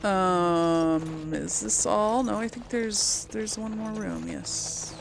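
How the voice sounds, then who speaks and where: A woman doing casual, chatty video game commentary with a creaky edge to her voice. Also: mid-range voice, big pitch swings, slow, background noise. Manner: chatty, talks to the audience. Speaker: gaming channel host playing through a role-playing game. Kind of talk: casual gameplay commentary with in-character dialogue read-alongs.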